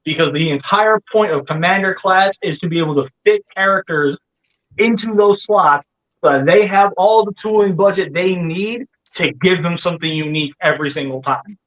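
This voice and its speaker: A man debating casually as a livestream panelist, emphatic and flatly insistent. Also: sits high, normal speed, low-quality recording. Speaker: panelist on a toy review livestream. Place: online livestream call.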